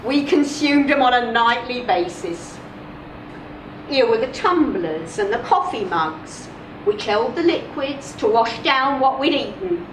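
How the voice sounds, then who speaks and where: High-voiced woman reading a story, slowly. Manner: deliberate, expressive, with measured pauses. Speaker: reader of a short story. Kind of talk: dramatic first-person story reading, live literary performance. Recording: roomy, distant mic, clear background noise.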